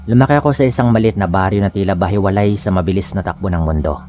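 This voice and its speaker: A man's voice: low-pitched, fast, darkish tone, a little hiss or hum. A man with a Filipino accent giving a scripted first-person narration of a scary story. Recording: music bed under the voice. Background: background music.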